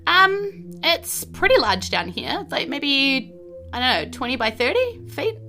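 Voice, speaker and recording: A woman's voice: high voice, sing-song, a little slow, bright, forceful tone, faint music behind. A woman with a New Zealand accent running a tabletop roleplay as game master, informal, playful and encouraging. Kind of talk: casual tabletop roleplay narration.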